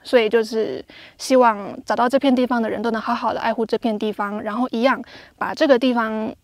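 A woman's voice: a little high, steady tone, a little fast, dry recording. A young woman casually chatting and explaining clues in a travel vlog, recorded outdoors in desert badlands with a lapel mic. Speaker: travel vlogger. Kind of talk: casual chat.

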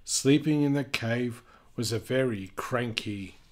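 A man reading a children's picture book aloud, gently and expressively, with chatty asides. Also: medium pitch, slow.

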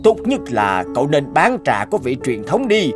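A man's voice: medium pitch, big pitch swings, normal speed, music in the background, natural voice. A man playing a grumpy, indignant old teahouse regular in a cartoon, complaining and scolding. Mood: disapproving. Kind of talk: Vietnamese cartoon dub.

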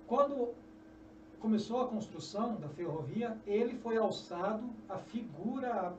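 A middle-aged man with a Brazilian Portuguese accent, a journalist and author telling history as a guest, animated and often restarting phrases, in a small podcast studio at a desk mic. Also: sits high, unhurried. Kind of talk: storytelling interview answers. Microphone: desk mic on a stand. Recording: echoey, distant, dull, noisy.

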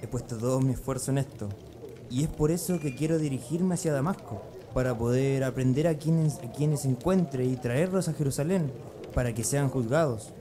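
An actor playing a zealous, formal young persecutor in a religious radio drama, deliberate and earnest. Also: male voice, mid-range voice, a crowd in the background, close to an everyday voice.

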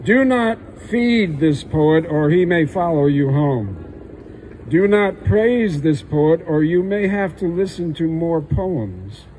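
An older man reads a humorous poem in a dry, wry manner, taking his time. His voice is mellow and sits somewhat high for a man. The outdoor stage leaves a faint hum of background noise. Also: lively intonation, takes it slowly, poor audio. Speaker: poet. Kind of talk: poetry reading. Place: small outdoor stage under trees at a festival.

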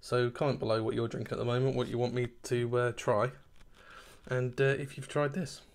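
A man in his thirties talks casually to a camera sitting on his kitchen table. His mid-range voice has a gravelly rasp, and he rambles in a loose, chatty way, often chuckling at himself. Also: medium pitch, little pitch movement, brisk. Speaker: beer reviewer. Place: kitchen at home, indoors.

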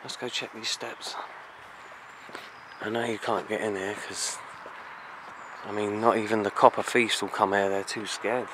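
A young British man vlogging as he explores abandoned grounds outdoors, excitable and slangy, often hushed, recorded on a handheld camera. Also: fairly low pitch, a little slow, pauses often. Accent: British English. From England. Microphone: handheld action camera. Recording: noisy outdoor camera audio.

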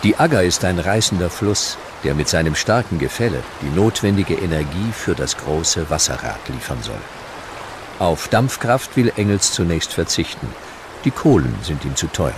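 Very deep-voiced documentary narrator, male. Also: big pitch swings, normal speed, background noise.

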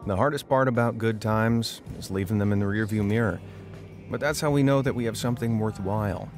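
Calm low male film narrator over music. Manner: calm, wistful, measured. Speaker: voiceover narrator. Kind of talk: reflective scripted narration.

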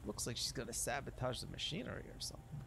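A man's voice: medium pitch, quick pace, creaky, background noise. A man giving casual video game commentary in folksy, slangy speech, muttering along to himself as he plays. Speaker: gameplay streamer.

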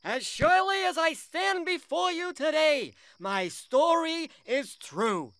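A man's voice: extremely high pitch, lively intonation, takes it slowly, vocal fry, a bit bright, slight background noise, heavily acted. A man voicing a stern, wise old prophet in a cartoon parable, solemn and emphatic. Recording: music under the voice. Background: music.

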